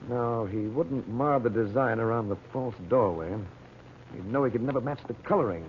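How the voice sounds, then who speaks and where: Actor as a confident radio-serial adventurer, tinny recording. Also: male voice, mid-range voice, moderate pace, a little hiss or hum, natural voice. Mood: excited deduction. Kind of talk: old-time radio serial acting.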